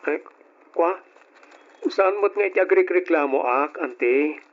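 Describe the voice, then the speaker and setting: Actor as a put-upon young nephew, dull recording. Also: male voice, on the high side, unhurried. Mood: hurt, polite. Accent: Filipino, Ilocano. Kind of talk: old-style Ilocano radio drama acting. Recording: dull, lo-fi radio drama recording.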